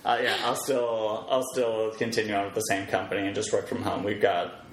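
A man's voice: fairly low pitch, little pitch movement, brisk. An easygoing man talking casually as a podcast guest, often with a chuckle. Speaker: podcast guest, web developer. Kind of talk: casual chat. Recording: roomy echo, faint background noise.

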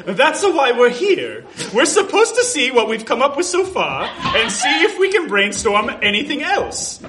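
A man's voice: very high voice, big pitch swings, a little slow, music in the background, voice pushed up, heavily acted. A man voicing a bossy, dim male dodo in an exaggerated, repetitive comedy sketch reading styled like a radio play. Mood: bossy, silly. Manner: exaggerated, repetitive delivery, breaking into laughter.

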